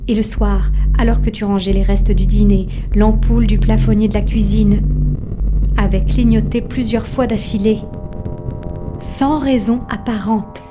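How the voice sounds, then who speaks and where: A woman's voice: mid-range voice, steady tone, measured pace, over music. A woman narrating a literary audio fiction in the second person.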